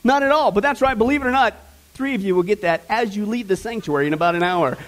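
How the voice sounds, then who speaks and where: A man with a high voice is preaching a sermon in a church sanctuary. He jokes with the congregation and talks straight to them. His delivery is animated and playful. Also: fast talker. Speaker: pastor.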